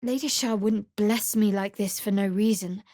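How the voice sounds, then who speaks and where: An actress playing a guarded, dryly sardonic young woman cleric of a dark goddess in a fantasy video game. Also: mid-range voice, normal speed, no echo, studio quality, natural voice. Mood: solemn.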